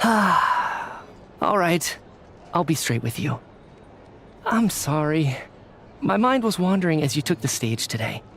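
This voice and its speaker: A man's voice: a little high, big pitch swings, slow, long pauses, fairly bright tone, a little hiss or hum, full character voice. A man voicing an earnest, flustered researcher in a video game, hesitant and prone to stammering. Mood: apologetic, candid. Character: flustered, earnest male researcher, an apologetic theater fan. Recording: music under the voice.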